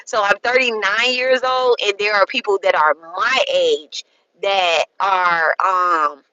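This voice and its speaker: A woman's voice: medium pitch, steady tone, takes it slowly, sharp tone, no echo, muffled recording. A woman in her thirties gives a casual spiritual talk to her viewers. She rambles, repeats herself, tags lines with "okay?" and swears freely. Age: 30s. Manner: frank and profane, colloquial phrasing. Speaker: spiritual content creator.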